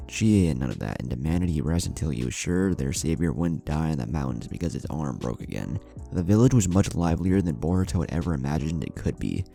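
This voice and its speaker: Male narrator for dramatic fiction: very low, gravelly, lively intonation over music. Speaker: narrator of a fan fiction story. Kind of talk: scripted dramatic story narration.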